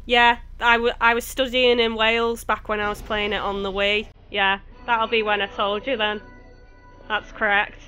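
Streamer: young woman, bright and chatty, a touch high, with faint game music under her. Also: flat delivery, normal speed, clean tone, rough recording. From England, near Chester. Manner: chatty, laughs easily, answers chat. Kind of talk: casual chat with live chat viewers.

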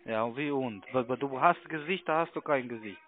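A man's voice: mid-range voice, normal speed, a crowd in the background. Crude man on tinny phone line. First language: not German. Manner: crude, taunting, broken phrasing. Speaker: scam call centre caller. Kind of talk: confrontational phone argument. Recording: muffled, narrow phone line.

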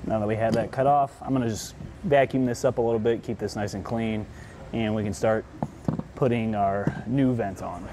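A man's voice: lowish voice, fairly even intonation, normal speed, background noise. A man in his thirties giving a casual how-to demonstration, talking conversationally as he works with his hands on a workshop floor. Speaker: product installation presenter. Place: large indoor workshop floor.

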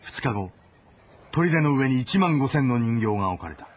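A man's voice: mid-range voice, lively intonation, moderate pace, slightly rough. A man narrating a historical game story in a dramatic, scripted style.

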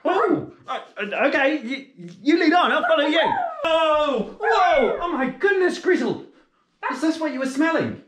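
A man's voice: very high-pitched, animated, measured pace, fairly bright tone, exaggerated voice. A young man playing an eager, naive, easily spooked little boy in a children's fairy tale, with a theatrical, wide-eyed manner. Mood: alarmed, amazed. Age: young adult. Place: living room. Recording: echoey indoor room.

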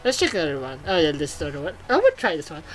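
A woman's voice: deep voice, wildly swooping pitch, fast, a little hiss or hum. A woman hosting a let's play, reading game dialogue aloud and reacting casually. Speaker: gaming video host.

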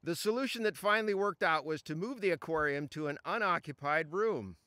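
An older man reads a written tribute outdoors at a stand mic. His voice is bright and smooth, recorded dry and close. He reads in a measured, solemn way. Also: a little high, dry recording. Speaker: reader of a friend's tribute. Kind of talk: reading a written eulogy aloud. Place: outdoors at a funeral gathering among trees.

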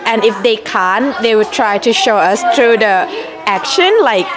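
Young woman, earnest, slightly high, handheld mic. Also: unhurried, music in the background. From Thailand. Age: young adult. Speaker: foreign volunteer interviewee. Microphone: handheld reporter mic.

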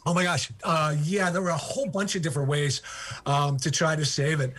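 Husky older man on a video call. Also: on the high side, fairly even intonation, a little fast, a bit bright. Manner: enthusiastic, many ums and uhs. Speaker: author and guest. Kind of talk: remote interview storytelling.

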